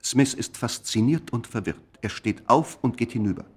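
A man narrating a scripted radio play in a measured, literary manner. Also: medium pitch, a little mellow, husky.

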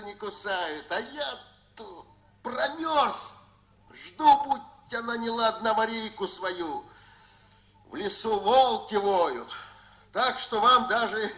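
A very high, bright-voiced male repairman in an old Soviet radio play. He rambles along slowly and chattily, with folksy sayings and chuckles. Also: heavily acted. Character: chatty male repairman phoning from a cold forest road. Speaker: telephone line repairman.

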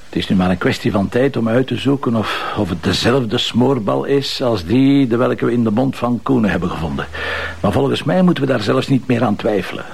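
An actor playing a sardonic, self-assured police commissioner with a Flemish accent, mocking and probing in a naturalistic TV crime drama. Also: male voice, mid-range voice, brisk, vocal fry, a little hiss or hum, close to an everyday voice. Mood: smug, matter-of-fact. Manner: mocking, probing, dryly ironic.

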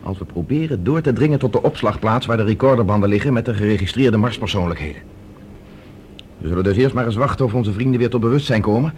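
An actor playing a calm, reasoning space expedition leader with a measured, decisive way of explaining, in a science-fiction radio drama. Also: male voice, on the low side, lightly acted. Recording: noisy old radio drama recording.

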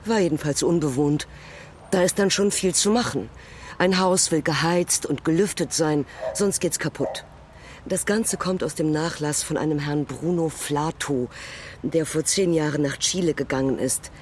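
A talkative male witness played by an actor in a radio drama explains himself at length in a conversational way. His voice sits fairly high, with clear ambient noise around it. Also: normal speed, lightly acted. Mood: explanatory. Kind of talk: German audio drama dialogue.

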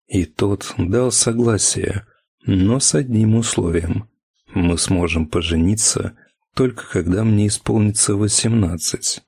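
A man's voice: low voice, slightly rough, no echo, clean studio sound. A man reading first-person fiction as a scripted audiobook narration.

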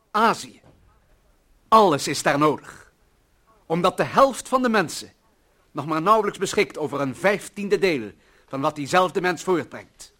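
An actor playing an earnest, idealistic employee who defends humanity in solemn, bombastic radio-play oratory. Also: male voice, sits high, sharp tone, full character voice. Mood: indignant pleading. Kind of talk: declamatory older radio-play acting.

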